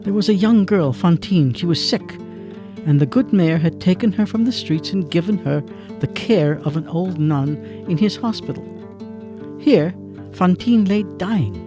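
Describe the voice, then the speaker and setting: A woman's voice: on the low side, animated, unhurried, dark tone, faint music behind. A woman delivering scripted dramatic narration for a period story.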